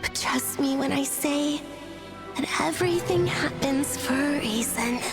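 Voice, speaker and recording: Slow, high-voiced sorrowful goddess. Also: female voice. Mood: sorrowful, wistful. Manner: slow, solemn, poetic delivery. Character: dying moon goddess, sorrowful and tormented, fading soul. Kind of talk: dramatic video game voice acting. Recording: music behind the voice.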